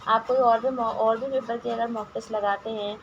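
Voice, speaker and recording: A hoarse woman with a sore throat, teaching exam-prep questions and answers with frequent hesitations and restarts, mixing English words into her talk. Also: fairly high pitch, steady tone, normal speed. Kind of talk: reading quiz questions and answers, casual explanation. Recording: lo-fi home recording with noise.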